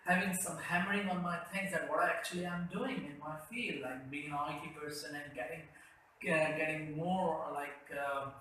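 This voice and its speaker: Brief: young Pakistani-accented man, interview guest, rambling, sharp tone, roomy echo. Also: a little high, fairly even intonation, normal speed. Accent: Pakistani English. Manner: rambling, many fillers and restarts. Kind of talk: telling his life story. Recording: roomy echo, faint background noise.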